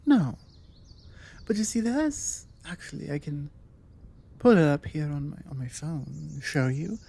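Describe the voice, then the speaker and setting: A British woman playing a forward, self-assured female vampire in a one-sided, conversational ASMR roleplay, with measured delivery and deliberate pauses. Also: deep voice, animated, gravelly, mellow, breathy edge, full character voice. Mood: earnest, confiding.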